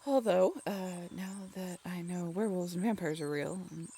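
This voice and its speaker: A woman's voice: fairly low pitch, normal speed, dark tone, a little hiss or hum, natural voice. A young woman playing an affectionate, teasing girlfriend in a conversational romantic roleplay audio, bantering in a playful drawl. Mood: incredulous, joking. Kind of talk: romantic comfort roleplay audio, conversational one-sided dialogue.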